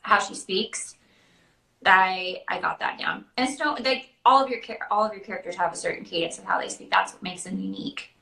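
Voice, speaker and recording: American woman, bright forceful tone, animated storyteller, casual host chat, echoey room. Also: medium pitch, brisk, creaky. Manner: animated storytelling, acting out quoted dialogue. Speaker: live show host and character party performer. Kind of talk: casual chat, answering viewer questions. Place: indoor room, online video chat. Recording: roomy echo.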